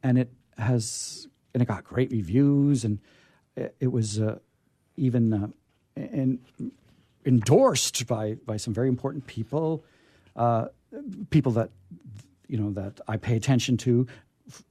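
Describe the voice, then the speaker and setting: An American man hosting a radio show, chatting casually and enthusiastically about books in a halting, discursive way with emphatic repetition. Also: medium pitch, dark tone, slightly rough, no echo. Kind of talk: casual book chat.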